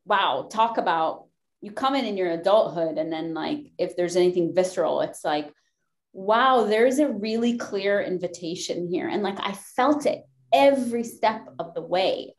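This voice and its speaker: A woman in her thirties from Lebanon, a podcast guest talking passionately and emphatically on a video call from a room at home, swearing and laughing often. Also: medium pitch. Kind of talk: passionate conversational discussion. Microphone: video call webcam.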